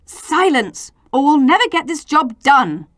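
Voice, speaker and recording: Storybook grown-ups read by a woman: authoritative and emphatic, firm delivery with animated pitch. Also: measured pace, dry recording, studio quality, heavily acted. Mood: exasperated.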